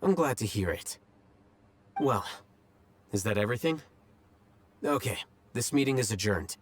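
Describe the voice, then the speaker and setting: Aloof, deadpan scholar. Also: male voice, on the low side. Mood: detached. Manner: deadpan, measured, formal. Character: aloof, pragmatic young male scholar, dryly blunt. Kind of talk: video game voice acting. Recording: music under the voice.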